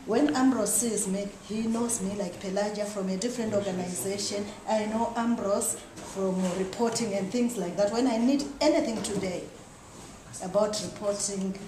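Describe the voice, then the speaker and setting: A woman's voice: medium pitch, normal speed. A woman, an audience member insistently pressing and rephrasing her question. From Zimbabwe. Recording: echoey room, noisy.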